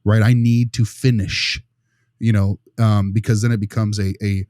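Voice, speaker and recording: A man talking through a case as a panelist, casually and with strong opinions, in an emphatic, animated way with rhetorical check-ins. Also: lowish voice, moderate pace, no room sound, studio quality. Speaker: panelist discussing a behavioral case study.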